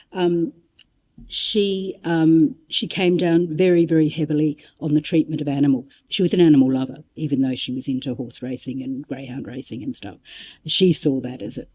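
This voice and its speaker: A woman's voice: sits low, normal speed, dark tone, breathy edge, low-quality recording. A woman giving an informal history talk, conversational and wry, drifting into asides and reading out quotations. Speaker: public lecturer.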